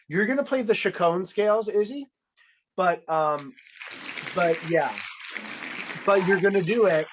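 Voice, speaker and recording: A man's voice: sits high, a little hiss or hum, rough recording. A man hosting a casual game show over a video call, playful and teasing, with rambling restarts. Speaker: host.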